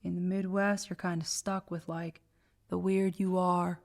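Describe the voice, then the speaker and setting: Cynical slacker roommate, radio comedy, monotone deadpan, slangy, too cool for everything. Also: female voice, fairly low pitch, a little slow, vocal fry. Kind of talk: comedic radio drama acting.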